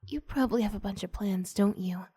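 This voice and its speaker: A woman voicing a shy, flustered, adoring female student in an ASMR roleplay, hesitant and gushing in breathy half-whispers. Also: mid-range voice, brisk, dark tone, close to an everyday voice. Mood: shy, nervous.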